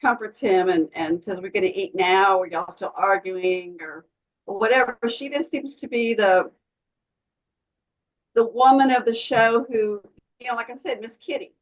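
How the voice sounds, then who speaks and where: A woman with a mid-pitched voice speaks as a participant in a casual group discussion. She rambles through her thoughts and circles back to restate them. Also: normal speed, poor audio. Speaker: workshop participant.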